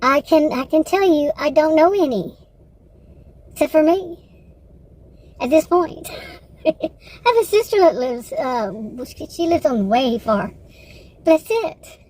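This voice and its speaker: A woman with a Texan accent, rambling casually in a personal monologue, halting often, circling back and correcting herself. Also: sits high, expressive, a little mellow. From Texas, United States. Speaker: vlogger.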